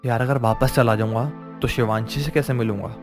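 An emotional young younger brother, voiced by a man, talks in a plaintive, everyday way with a mid-pitched voice. It is cartoon drama with music underneath. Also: fairly even intonation, fairly quick, lightly acted. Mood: pleading. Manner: plaintive, colloquial. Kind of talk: animated story dialogue.

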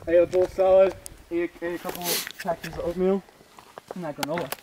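A man's voice: sits high, steady tone, quick pace, dark tone. A young man chatting casually with blunt, slangy phrasing, recorded on a handheld camera outdoors on a trail. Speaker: hiking companion. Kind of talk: casual chat. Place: outdoors on a forest mountain trail. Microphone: handheld camera microphone. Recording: noisy outdoor camera audio, handling clicks.

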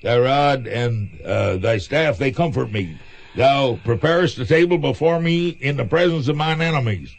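Halting man reciting scripture. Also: mid-range voice, vocal fry. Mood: halting, solemn. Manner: halting, stumbling. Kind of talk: radio drama acting.

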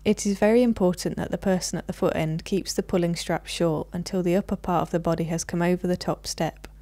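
Mellow, low-pitched woman narrating instructions. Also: fairly even intonation, a little fast. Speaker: narrator of a product training video.

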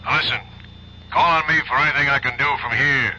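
Sharp, forceful male police chief. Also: mid-range voice, steady tone, quick pace. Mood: helpful. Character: loyal, apologetic male police chief, gruffly businesslike. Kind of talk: radio drama acting. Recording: muffled, hissy radio play phone call.

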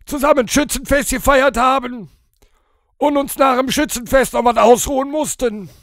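A brash male podcast character with an extremely high voice. He banters in a crude, blunt, deadpan way, recorded very dry. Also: normal speed, no room sound. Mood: deadpan, cocky.

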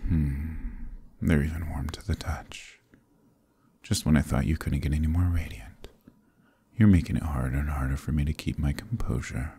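A man voicing a gravelly, breathy demon prince. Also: extremely low pitch, fairly even intonation, moderate pace, warm tone, heavily acted. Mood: seductive. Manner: teasing, flirtatious, commanding. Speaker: voice actor in audio roleplay. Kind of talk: ASMR roleplay, close intimate murmur.

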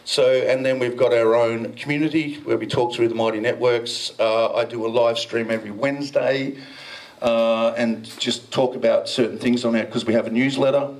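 A middle-aged man holds a handheld mic in a dim event room. He jokes and banters with the crowd in a gravelly voice, poking fun at himself as he presents. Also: medium pitch, steady tone, moderate pace, rough recording. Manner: cheeky, self-mocking, banters with the audience. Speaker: presenter at a blockchain meetup. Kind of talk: joking conference talk.

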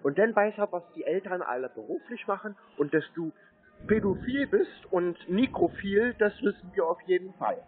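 A man's voice: sits high, animated, moderate pace. A man, taunting and insulting the other party in a phone argument. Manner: mocking, insulting, provocative. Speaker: scambaiter prank-calling phone scammers. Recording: muffled, lo-fi call audio.

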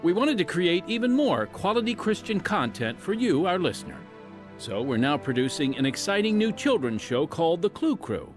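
A man announcing a radio program in polished, measured scripted narration. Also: high-pitched, big pitch swings, faint music behind.

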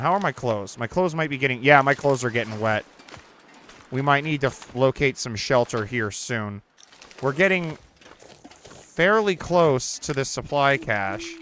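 A young man giving casual, chatty commentary over a survival game, reacting out loud and swearing now and then. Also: mid-range voice, slight background noise. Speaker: gaming channel host. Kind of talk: casual let's-play commentary. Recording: faint game ambience behind.

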